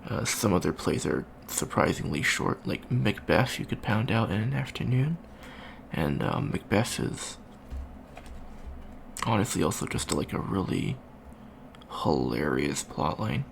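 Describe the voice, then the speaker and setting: Deep, creaky, awkward bookish alien student played by a man. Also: very animated, unhurried, a little hiss or hum, exaggerated voice. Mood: bookish, rambling. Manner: hesitant, rambling, full of ums and stammers. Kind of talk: soft-spoken ASMR roleplay.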